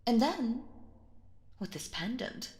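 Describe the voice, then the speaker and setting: A woman playing a sinister, possessive stage magician in a roleplay audio, speaking theatrically and coaxingly in a slow, silky manner. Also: on the high side, very animated, darkish tone, roomy sound, a little hiss or hum. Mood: showy, commanding. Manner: theatrical, slow, silky, addresses listener as dear. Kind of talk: scripted roleplay audio acting.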